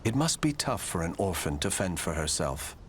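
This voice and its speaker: A man's voice: low-pitched, sing-song, moderate pace, fairly bright tone, slight background noise. An actor playing a proud, embittered fugitive nobleman in a video game, with formal, theatrical, brooding diction. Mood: subdued, thoughtful. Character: proud, embittered fugitive French nobleman swordsman, weary and betrayed. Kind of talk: dramatic video game acting. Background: music.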